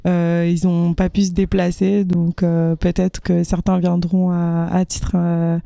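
A woman singer and musician from France, interviewed, speaking hesitantly with many pauses and fillers. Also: fairly low pitch, completely flat delivery, vocal fry, dark tone, smooth, no room sound. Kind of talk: casual interview.

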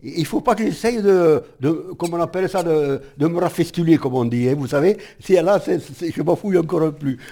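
A man's voice: on the high side, brisk, vocal fry, few pauses, dark tone. A man chatting with a reporter, often stammering and repeating himself. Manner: stammering, repeats words, hearty. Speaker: local resident serving homemade verbena liqueur. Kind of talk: casual chat with a reporter.

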